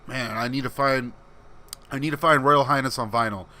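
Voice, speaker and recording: A man chats casually on his livestream, reading viewer comments aloud and answering them in a relaxed, rambling way. His voice is bright and forward, sitting at an ordinary pitch, and people describe it as soothing. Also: moderate pace, slight background noise. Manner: laid-back, rambling, answers chat comments. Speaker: channel host reading live chat.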